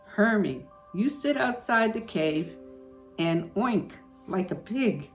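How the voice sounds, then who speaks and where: A woman's voice: medium pitch, animated, takes it slowly, pitched above the performer's own voice. A woman voicing a bold male adventurer in a storybook, giving emphatic instructions. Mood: scheming, urgent. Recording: music behind the voice.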